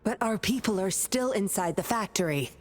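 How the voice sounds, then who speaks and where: A woman's voice: medium pitch, lively intonation, moderate pace, darkish tone, natural voice. An actress playing a cool, resolute young female operative in a video game, speaking in a terse, controlled and serious way. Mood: protesting. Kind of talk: dramatic game dialogue.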